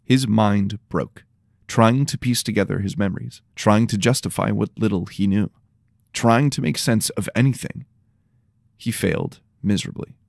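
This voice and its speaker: A man with a slightly tired, worn voice reading scripted, dramatic dark fantasy narration. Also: lowish voice, moderate pace, vocal fry, no room sound, studio quality.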